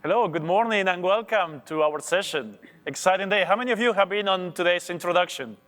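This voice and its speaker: Argentine guy on stage at a conference, kinda high voice, big hall echo. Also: a little fast, a bit bright, soft background music. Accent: Argentine Spanish. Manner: upbeat, with small restarts. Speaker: logistics director presenting. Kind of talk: conference presentation. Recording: long reverb of a big hall.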